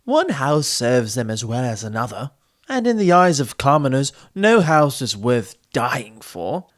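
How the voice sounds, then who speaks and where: A man voicing a treacherous young dark-elf nobleman in a fantasy audiobook, smug and teasing. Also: mid-range voice, very animated, a little slow. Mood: smug, scheming.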